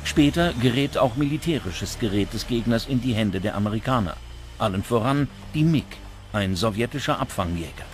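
A man's voice: mid-range voice, expressive, moderate pace. Male documentary narrator over prominent music. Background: background music.

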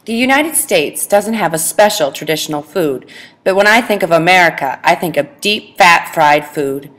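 A woman's voice: on the low side, animated, normal speed, a bit bright. A woman reading a school presentation aloud over a video call, stressing each food word. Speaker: student presenter.

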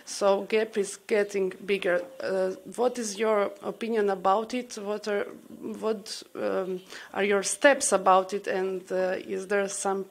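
A woman from Croatia, around thirty, speaks up from the audience in a big conference hall, her voice slightly gravelly with fry. She talks into a desk microphone and reaches for her words between frequent "uh"s. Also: mid-range voice, flat delivery, measured pace, hardly any pauses. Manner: searching for words with many fillers. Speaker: audience member asking a question. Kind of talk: conference question from the floor.

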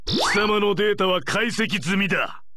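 A man's voice: sits high, normal speed. A man voicing a pompous, commanding, menacing warrior villain in an anime fighting game. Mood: contemptuous. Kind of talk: theatrical anime game battle lines. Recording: loud game effects and noise.

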